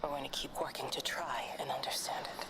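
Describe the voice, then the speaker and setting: An actress playing a weary lone scientist leaving a grave, hushed message in a video game. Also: female voice, a bass voice, steady tone, quick pace, gravelly, whispered, poor audio. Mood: grave, resigned.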